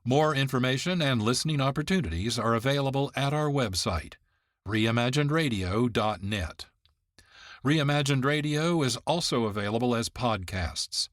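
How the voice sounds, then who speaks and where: A man's voice: mid-range voice, no echo, clean studio sound. Man hosting a radio program. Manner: measured, informative, reads from a script. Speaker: producer and host. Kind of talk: scripted radio narration.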